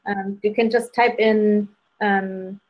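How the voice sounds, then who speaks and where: A woman from Germany with a German accent, hosting live spirit readings and guiding a meditation over a video call in a relaxed way, often saying "um" and "like". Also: medium pitch, slow, lo-fi sound. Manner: relaxed, many fillers like "um" and "like". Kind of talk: casual guided meditation and readings.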